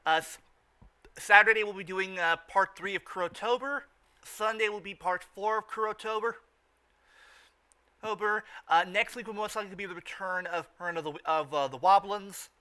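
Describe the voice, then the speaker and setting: A man hosting a casual podcast, talking off the cuff in a halting way with stammered, repeated words. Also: sits high, pushed and bright, smooth. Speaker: podcast host. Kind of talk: casual unscripted podcast chat.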